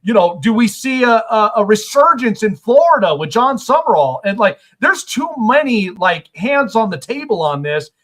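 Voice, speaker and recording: Male sports talk host, high animated voice, rambling casual delivery. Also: normal speed, few pauses. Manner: rambling questions with many fillers.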